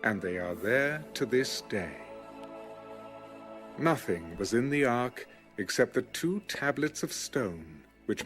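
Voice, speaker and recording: A man narrating scripture from a script in a measured, solemn storytelling manner. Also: medium pitch. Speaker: narrator of an audio Bible. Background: music.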